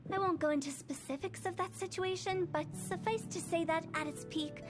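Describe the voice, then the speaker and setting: A woman's voice: very high-pitched, normal speed. A woman voicing a playful, mischievous young funeral parlor director who tells old tales in a game. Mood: solemn. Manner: teasing, then solemn storytelling. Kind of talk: game cutscene voice acting. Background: game music.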